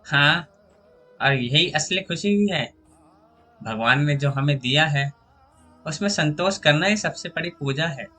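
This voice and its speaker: A man voicing a gentle, contented village mother in a moral story, reassuring and instructive. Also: fairly high pitch, animated, measured pace, long pauses, soft background music, exaggerated voice.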